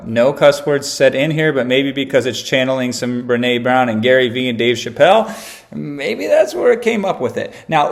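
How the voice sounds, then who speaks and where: Brash, slangy man giving a tutorial. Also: medium pitch. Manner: brash, slangy, teasing the viewers. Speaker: marketer and copywriter presenting a tutorial. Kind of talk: casual conversational tutorial with read-aloud examples.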